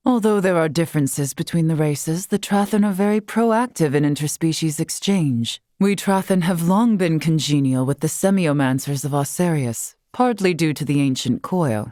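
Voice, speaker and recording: An actress playing a wise, long-lived female scholar in a video game, composed and curious, speaking in formal, measured, eloquent phrases. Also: sits low, no room sound, studio quality, lightly acted. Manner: formal, measured, eloquent, softly breathy at times. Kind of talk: scripted video game character dialogue.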